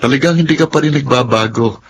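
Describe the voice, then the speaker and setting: A young Filipino man played by an actor in a radio drama, casual and teasing. His pitch is ordinary, with faint noise and ambience behind him. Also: fast talker, close to an everyday voice. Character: employed, teasing young Filipino male former batchmate.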